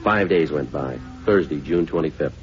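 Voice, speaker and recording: An actor playing a terse, patient, businesslike police detective, polite and deadpan, in a 1950s radio drama. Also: male voice, very deep voice, normal speed, over music. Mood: matter-of-fact. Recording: dull vintage radio recording.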